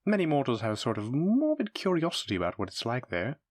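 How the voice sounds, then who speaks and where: A man's voice: medium pitch, wildly swooping pitch, quick pace, no echo. A man playing a polite, eager demon front desk clerk in a scripted roleplay, with formal, effusive hospitality patter. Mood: cheerful, informative. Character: courteous, eager demon hotel receptionist, smooth salesman. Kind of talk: scripted roleplay audio addressed to the listener.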